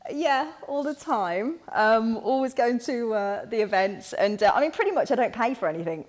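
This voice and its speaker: A middle-aged woman with a Brummie accent and a fairly high voice. She chats as a podcast guest in a playful, giggly way, with the easy banter of a radio presenter. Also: lively intonation, moderate pace. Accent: Birmingham (Brummie). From Birmingham, England.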